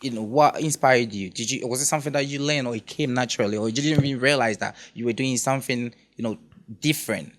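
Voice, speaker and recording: A man's voice: medium pitch, quick pace. A man hosting an interview podcast, asking long questions with many restarts and fillers. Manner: hesitant, repeats himself, many fillers. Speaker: podcast host.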